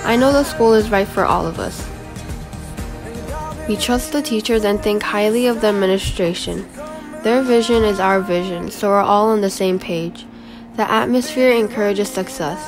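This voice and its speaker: A fifteen-year-old girl from Guam reading her autobiography aloud as a school presentation, in an earnest, steady voice. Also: mid-range voice, normal speed. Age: teenager, 15. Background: background music.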